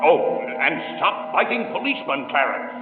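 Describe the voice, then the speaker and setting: A stern senior angel in a 1940s radio drama. The voice rings with long echo over prominent music and rises and falls freely, commanding and reproving. The sound is narrow and old. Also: male voice, on the high side, sing-song, normal speed. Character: stern, authoritative male heavenly superior angel.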